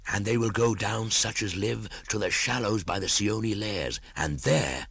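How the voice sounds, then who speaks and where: Man voicing an ancient python, gravelly and hushed. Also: lowish voice, no room sound, studio quality, pitched above the performer's own voice, full character voice. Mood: cunning, ominous. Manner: archaic, deliberate storytelling, dropping toward whispers. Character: ancient giant male python, wise, cunning, unhurried. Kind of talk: audiobook storybook character acting.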